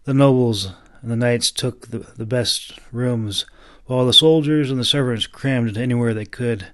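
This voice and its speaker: A man narrating a fantasy audiobook, reading scripted prose with some halting stumbles and restarts. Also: mid-range voice.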